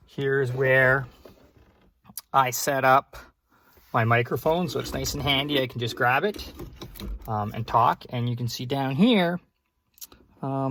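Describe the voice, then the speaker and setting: A man's voice: mid-range voice, vocal fry, a bit bright. A man giving a casual, hands-on how-to walkthrough as a vlogger, in an informal way with conversational asides.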